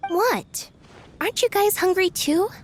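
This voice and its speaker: A woman's voice: very high-pitched, expressive, a little slow, slight background noise. A woman playing a reticent, cool young woman in a video game, with a dry, blunt, understated delivery.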